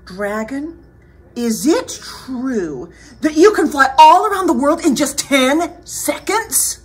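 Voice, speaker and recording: A woman voicing a bold, clever young storybook princess, eager and emphatic in her flattery. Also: sits high, slow, voice pushed up.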